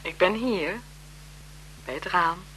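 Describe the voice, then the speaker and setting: An actress in a radio drama playing an elegant, flirtatious marquise, speaking in a coy, teasing, genteel manner with languid self-assurance. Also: female voice, fairly low pitch, slow pace, a bit bright, a little hiss or hum, rough recording. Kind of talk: 1970s Dutch radio drama acting.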